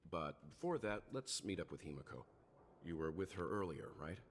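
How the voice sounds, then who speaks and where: Game role: composed male traveler, deep voice, polite and measured, over noticeable ambient noise. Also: big pitch swings. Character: Mister Yang, composed, inquisitive, gentlemanly male traveler. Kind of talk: English video game voice acting.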